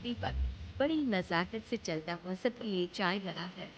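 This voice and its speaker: A woman's voice: fairly low pitch, a little slow. Woman reading out a moral story, kinda lofi recording with a little noise behind. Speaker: story narrator. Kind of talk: scripted moral-story narration. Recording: dull lo-fi audio, slight noise.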